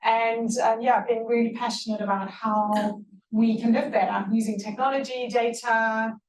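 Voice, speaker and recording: A woman with a South African accent speaks on a panel, relaxed and eager, with plenty of ums. She sits back from the mic. The room rings around her. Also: fairly high pitch, moderate pace, a little hiss or hum. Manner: relaxed, many ums, enthusiastic. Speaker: tech founder on a panel. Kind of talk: panel talk. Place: event hall. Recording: echoey room, distant mic.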